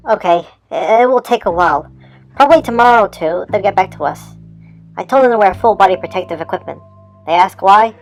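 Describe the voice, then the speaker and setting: A woman's voice: medium pitch, lively intonation, a little fast, soft background music, lightly acted, rough recording. Woman acting a matter-of-fact forensic officer. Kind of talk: amateur audio drama acting.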